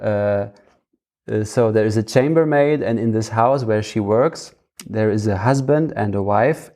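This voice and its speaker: Guy hosting a podcast, creaky soft mellow voice, halting, feels around for words. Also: lowish voice. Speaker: podcast host. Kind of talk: casual conversational podcast talk.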